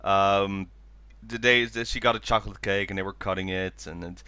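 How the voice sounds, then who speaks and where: A man's voice: deep voice, fairly even intonation, moderate pace, bright, forceful tone, clear, resonant tone, dry recording. A man hosting a casual fan discussion podcast, slightly stuffy-nosed with a cold coming on. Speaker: podcast host.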